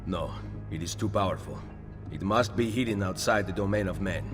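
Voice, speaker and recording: An actor playing a grave, resolute Italian master assassin in a video game, measured and commanding, with an Italian accent. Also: male voice, low voice, over music, natural voice. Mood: brooding. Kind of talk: video game cutscene acting.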